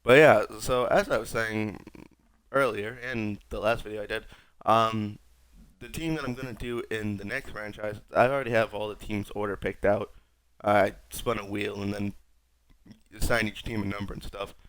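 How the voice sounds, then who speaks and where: A young man with a raspy voice, sick, rambling wryly through casual gameplay commentary as a streamer. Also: on the low side, fairly even intonation, normal speed, fairly bright tone, no echo, rough recording. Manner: rambling, wry, self-mocking. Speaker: gaming streamer.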